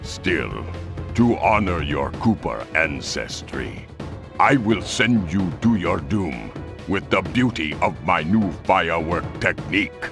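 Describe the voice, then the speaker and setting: An actor voicing a huge, proud, menacing panda crime lord in a video game, speaking in a formal, pompous manner. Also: male voice, low voice, animated, over music, heavily acted. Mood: grand wrath. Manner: formal, pompous, slow-spoken.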